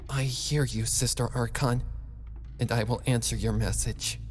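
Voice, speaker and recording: A man's voice: mid-range voice, fairly even intonation, a little slow, long reverb tail, slight background noise, lightly acted. A man voicing a brave young goddess in a story reading, composed but anguished, earnest and pleading. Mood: resolute.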